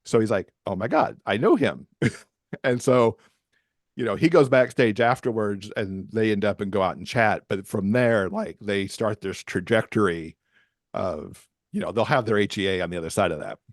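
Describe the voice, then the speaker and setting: A low-pitched American man talks casually as a podcast guest. He explains things in a chatty, conversational way, and the sound is very dry, with no room on the voice. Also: moderate pace, no echo. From Michigan, USA. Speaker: podcast guest, romance author.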